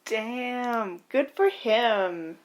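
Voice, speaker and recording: A woman's voice: on the high side, expressive, extremely slow. A woman co-hosting a podcast, reacting in casual chat with enthusiastic exclamations. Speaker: podcast co-host.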